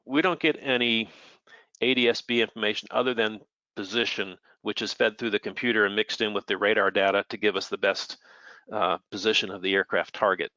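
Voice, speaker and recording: Bright-voiced man explaining, dry dull audio. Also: on the low side, steady tone, brisk, no echo. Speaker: air traffic controller, guest expert. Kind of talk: informal technical explanation with slides.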